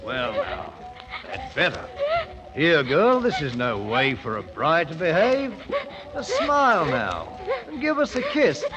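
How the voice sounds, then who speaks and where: A man's voice: a little high, huge pitch swings, takes it slowly, lo-fi sound, full character voice. An actor playing a swaggering, stubborn British adventurer who made himself king, in an old-time radio drama. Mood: defiant, impatient. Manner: blustering, colloquial. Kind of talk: 1940s radio drama acting. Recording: old radio broadcast with music bed.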